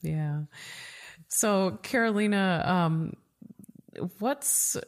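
A woman's voice: medium pitch, extremely slow. A woman hosting a podcast interview, chatting casually with many fillers and restarts. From United States. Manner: rambling, frequent fillers and restarts. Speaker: podcast host.

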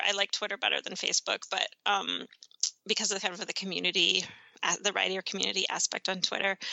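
A woman's voice: medium pitch, creaky, bright, forceful tone, no room sound, low-quality recording. A woman writer chatting casually as an interview guest.

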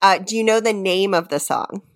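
A woman's voice: medium pitch, steady tone, normal speed. A woman hosting a podcast guessing game in casual banter, with a playful, teasing manner.

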